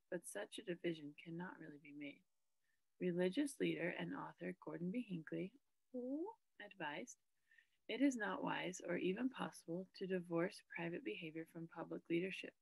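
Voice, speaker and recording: Raspy-voiced woman reading aloud. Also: fairly low pitch, no echo, poor audio. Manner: steady read-aloud pace, frequent throat clearing. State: scratchy morning voice. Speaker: book study reader. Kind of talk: reading a book aloud to a study group. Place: small bedroom indoors. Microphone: webcam at desk.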